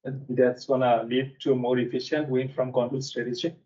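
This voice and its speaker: A young man from Bangladesh presenting a technical seminar in a conference room, talking haltingly with frequent fillers. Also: medium pitch, little pitch movement, mellow. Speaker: seminar speaker. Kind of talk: technical lecture. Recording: distant mic, dull sound.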